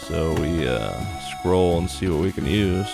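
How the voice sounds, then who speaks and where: A man's voice: deep voice, unhurried, creaky. A man hosting a gaming video, narrating a playthrough in a casual, plainspoken way. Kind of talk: game playthrough commentary, reading on-screen story text. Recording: music behind the voice. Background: game music.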